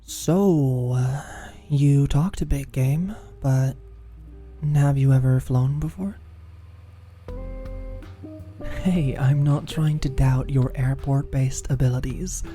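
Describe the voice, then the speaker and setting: An actor playing a teasing, caring British office manager in an intimate ASMR roleplay, speaking softly and breathily with a dry manner. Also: mid-range voice, takes it slowly, faint music behind. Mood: casual, dryly teasing. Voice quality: soft, breathy, often near-whispered. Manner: dry, gently teasing, solicitous.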